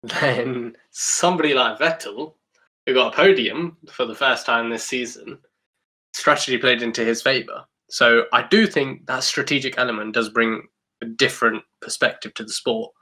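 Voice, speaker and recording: A man talks as a co-host on a casual sports podcast. His voice is bright and forceful, sitting at an average pitch. He speaks conversationally, sharing his views. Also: moderate pace.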